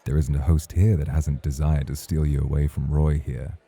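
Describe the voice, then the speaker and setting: A man plays a charming, teasing club champion in a romantic ASMR roleplay. His voice is extremely low, very dark and husky. He talks in a formal, courtly way. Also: flat delivery, moderate pace, exaggerated voice. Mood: flirtatious. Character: suave, teasing champion male club host, smoothly charming.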